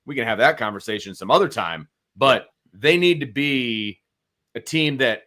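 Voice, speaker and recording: A man with a medium-pitched, bright and forceful voice talks sports as a co-host. He is casual and colloquial, stating opinions emphatically and repeating key phrases to drive them home. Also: animated, normal speed. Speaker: sports show co-host and analyst.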